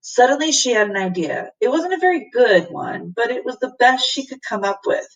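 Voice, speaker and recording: A woman teacher reading a children's storybook aloud with expressive narration, recorded away from the microphone. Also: a little high, a little fast, gravelly, distant mic, rough recording.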